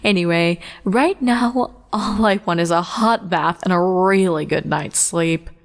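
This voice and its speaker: A woman's voice: fairly low pitch, a little slow, big-room sound. A woman voicing a weary, dry-humored pony princess in a storybook reading, with a sighing, sarcastic, grumbling manner. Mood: tired, evasive.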